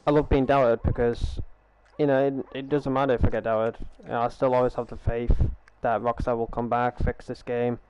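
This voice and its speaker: A man's voice: mid-range voice, flat delivery, moderate pace, few pauses, dull audio, background noise. A young man casually chatting with his live-stream audience while he plays, earnest and rambling, often repeating himself over a gaming headset at home. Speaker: game streamer.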